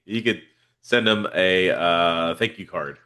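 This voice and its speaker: A man's voice: sits low, slow pace, bright, forceful tone, smooth. A man with a head cold, hosting a casual football podcast in a laid-back, rambling way with lots of fillers. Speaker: podcast host. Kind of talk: casual fantasy football chat.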